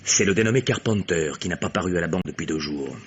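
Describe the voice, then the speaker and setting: Low-voiced, cool detective played by a male actor. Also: fast talker, muffled recording, close to an everyday voice. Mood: wry, insistent. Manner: assured, wry, explanatory. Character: confident, sly male private detective, coolly ironic. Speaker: actor in a radio mystery. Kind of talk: French radio detective drama acting. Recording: noisy old radio drama recording.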